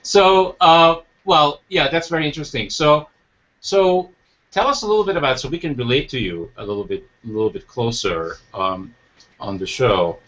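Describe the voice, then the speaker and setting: A man's voice: a little high, expressive, normal speed, fairly bright tone. A man hosting a talk show as a coach, speaking reflectively, asking rhetorical questions, and restarting his sentences. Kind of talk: interview conversation. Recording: dull lo-fi recording.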